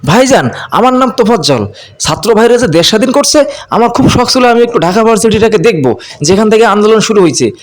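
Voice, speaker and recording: A man's voice: high voice, expressive, fast talker. A man with a Bangladeshi accent voicing a frightened, simple-minded orphan man who pleads and whimpers in a comedy cartoon. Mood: meek. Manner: pleading, whimpering, repeatedly addressing elders respectfully.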